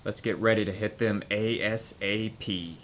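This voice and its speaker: A man's voice: on the low side, steady tone, normal speed, fairly bright tone, close to an everyday voice. Man playing a blunt American army major, muffled. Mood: determined, commanding. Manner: blunt, commanding. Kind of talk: audio drama acting. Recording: slightly muffled, noisy ambient backdrop.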